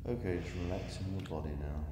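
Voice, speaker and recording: A man leading a guided sleep hypnosis as a hypnotherapist, speaking in a soothing, hushed, unhurried way with gentle repeated cues. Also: low-pitched, background noise. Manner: slow, soothing, hushed delivery with repeated relaxation cues and counting. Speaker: hypnotherapist, channel host. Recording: background music, echoing reverb on layered voice.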